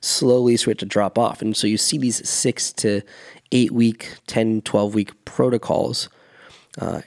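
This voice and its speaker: A man's voice: fairly low pitch, steady tone, a little slow, gravelly. A man in his thirties, a fitness coach co-hosting a podcast, explaining earnestly in a casual chat with restarts.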